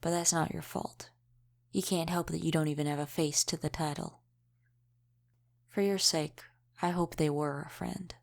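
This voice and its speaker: A woman playing a centuries-old, world-weary female vampire in an audio roleplay, gruffly protective, speaking in an unhurried, wry, folksy way with dropped g's. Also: sits low, fairly even intonation. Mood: gentle, reassuring. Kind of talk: intimate audio roleplay acting.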